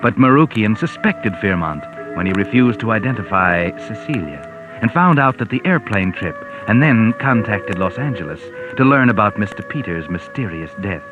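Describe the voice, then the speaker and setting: Thin, phone-like old radio sound with music behind. An actor plays a sardonic American mystery narrator, low and knowing, with a theatrical, widely swooping delivery from the 1940s. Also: male voice, expressive, moderate pace, natural voice. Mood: knowing, mocking. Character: sly, omniscient sardonic narrator of crime tales.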